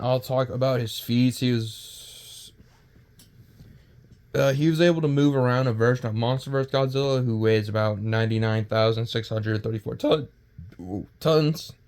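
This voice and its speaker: Man doing halting, rambling casual video commentary. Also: medium pitch, a little mellow, clean tone. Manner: halting, rambling, stumbles over words and restarts. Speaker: video creator and host. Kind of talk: casual unscripted power-scaling commentary.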